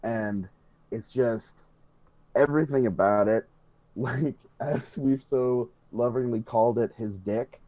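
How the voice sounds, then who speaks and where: A man's voice: medium pitch, slow, no room sound, muffled recording. A man co-hosting a hobby podcast, chatting casually with emphatic, sweary, rambling enthusiasm. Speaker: podcast co-host.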